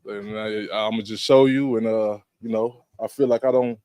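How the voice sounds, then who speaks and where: A man's voice: fairly low pitch, steady tone, moderate pace, warm tone, clear, resonant tone, dry recording, studio quality. A football player in his thirties speaking in a press interview, confident and boastful in a laid-back way. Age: 30s.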